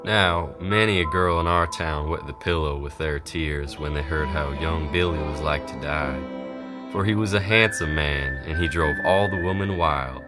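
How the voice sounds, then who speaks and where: Deep-voiced folksy storyteller played by a man. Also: normal speed, music in the background. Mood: folksy, dramatic. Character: folksy Old West storyteller, unhurried male townsman. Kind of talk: scripted narration of a Western folk tale.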